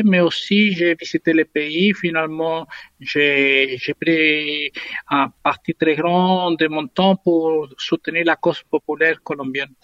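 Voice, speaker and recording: A man's voice: a little high, a bit bright, no echo. Earnest Chilean-born man on a dull line. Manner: earnest, searching for words. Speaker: guest, activist. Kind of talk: remote interview answers.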